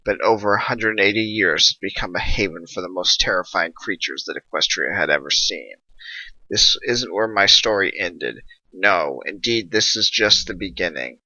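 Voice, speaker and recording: A man's voice: deep voice, normal speed, sharp tone, no room sound. A man reading dramatic scripted story narration in a portentous manner. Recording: dull lo-fi dry recording.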